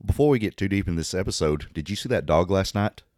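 A man with an extremely low voice that is hoarse and raspy, talking casually as a podcast co-host. The sound is dry and close to the mic. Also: expressive, fast talker, a little mellow, no echo. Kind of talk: casual chat.

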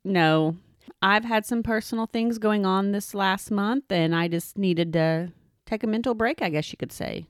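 A woman's voice: mid-range voice, measured pace, no room sound, clean studio sound. A woman co-hosting a podcast, talking in an earnest, conversational way. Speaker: podcast co-host. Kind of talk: casual chat.

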